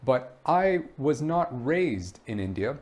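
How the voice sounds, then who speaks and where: A young man who grew up in Brunei teaches English straight to the camera. His mid-range voice is clear and steady, and he walks the listener through his points with questions and answers. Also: mid-range voice, slow. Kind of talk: direct-to-camera course introduction.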